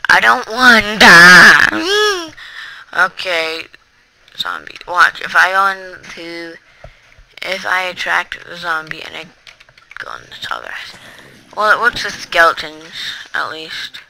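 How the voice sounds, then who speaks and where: Brief: casual gameplay commentator, chatty and rambling, slow delivery, gravelly texture. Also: mid-range voice, a little hiss or hum, poor audio. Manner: rambling, chatty, talks to viewers; excitable outbursts during play. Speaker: Let's Play gamer.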